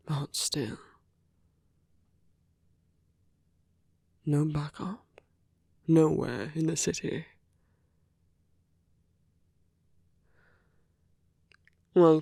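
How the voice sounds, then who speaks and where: Man playing a breathy, gravelly, smugly flirtatious villain. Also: on the high side, dry recording, studio quality, heavily acted. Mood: smug, probing. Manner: soft, breathy, often dropping to a whisper; taunting, smug, flirtatious. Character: suave, teasing male villain, smitten with his heroic enemy. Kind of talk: ASMR roleplay acting.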